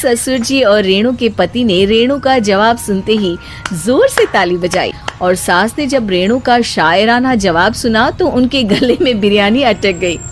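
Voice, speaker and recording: A woman's voice: mid-range voice, unhurried. A woman narrating a scripted moral story as a storyteller. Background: background music.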